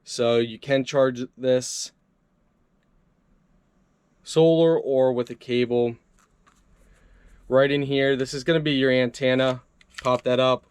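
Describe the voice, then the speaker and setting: A man's voice: mid-range voice, steady tone, slow pace, long pauses, darkish tone, clear, resonant tone, dry recording. A man doing a casual, upbeat hands-on product review, chatting to his viewers as he tries things out.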